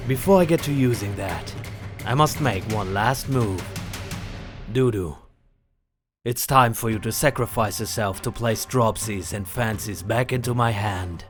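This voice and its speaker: A man's voice: on the low side, measured pace, natural voice. A man playing a determined, earnest hero duelist in a fan audio drama. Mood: focused, commanding. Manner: earnest, resolute. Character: determined, brooding male hero duelist, kind to companions. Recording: music behind the voice.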